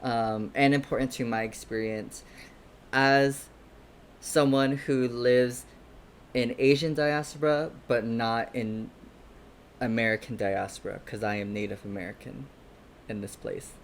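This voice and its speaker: A young man with an average-pitched voice, talking thoughtfully on a student podcast. He works his ideas out as he goes, often backing up to rephrase what he just said. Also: steady tone, unhurried, slight background noise. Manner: thoughtful, corrects and rephrases himself mid-thought. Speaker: podcast panelist. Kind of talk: casual podcast discussion.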